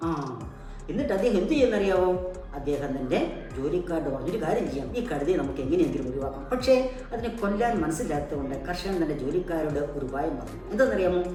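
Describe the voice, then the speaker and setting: A woman's voice: low voice, lively intonation, fast, mellow. A woman hosting a puppet show and telling a story to children in a teacherly way, with questions and repeated key words. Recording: very echoey, distant mic, background music.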